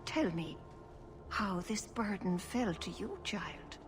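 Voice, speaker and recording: An actress playing a wise, solemn elven clan keeper in a fantasy video game, speaking in a measured, formal way. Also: female voice, medium pitch, expressive, over music. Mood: gentle, solemn. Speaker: clan leader and spiritual guide.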